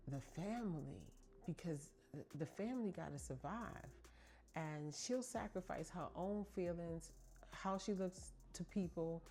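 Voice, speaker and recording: A woman's voice: low-pitched, creaky, dark tone. A middle-aged woman hosting a podcast, talking to her listeners in a casual, heartfelt monologue with a chatty, emphatic manner. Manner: chatty and emphatic, addresses listeners as ladies and y'all. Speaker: podcast host.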